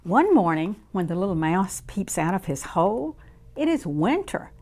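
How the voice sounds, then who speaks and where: A woman reading a picture book aloud to young children in an expressive, deliberate, sing-song way. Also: lowish voice. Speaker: children's story reader.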